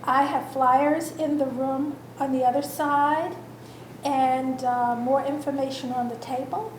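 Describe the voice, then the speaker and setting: A woman with a high voice makes slow, halting announcements to an audience, restarting her phrases now and then. The room gives her voice a noticeable echo, with faint background noise. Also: steady tone. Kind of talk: announcements at a public talk. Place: event room in a historic house.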